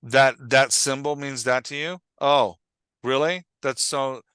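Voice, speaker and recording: A man's voice: mid-range voice, fairly even intonation, a little slow, fairly bright tone, clean tone, dry recording, lightly acted. A man voicing an arrogant, grumpy male academic character with a sarcastic, belittling way of talking. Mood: sarcastic mocking.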